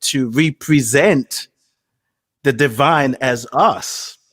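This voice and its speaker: A man talks through spiritual ideas in a calm, measured way, often breaking into easy laughter. His voice sits at a middle pitch, and the recording is close and dry. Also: dry recording. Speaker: spiritual guest speaker. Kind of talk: reflective spiritual conversation.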